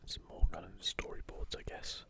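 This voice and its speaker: A man speaking in a soft, breathy whisper, gently musing in a casual ASMR show-and-tell close to the microphone. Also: moderate pace. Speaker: host of an ASMR gaming channel.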